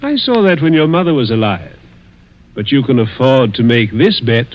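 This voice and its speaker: An actor playing a suave, malicious older millionaire in a 1940s radio mystery, with silky, taunting, deliberate speech. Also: male voice, medium pitch, animated, close to an everyday voice. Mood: taunting, sly. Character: suave, malicious, wealthy older stepfather plotting murder. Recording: muffled vintage 1940s radio recording.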